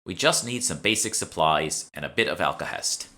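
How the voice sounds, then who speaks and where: A man voicing a brisk, impatient and commanding young woman in an audiobook. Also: sits low, expressive, pushed and bright. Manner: curt, commanding. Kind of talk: audiobook character dialogue.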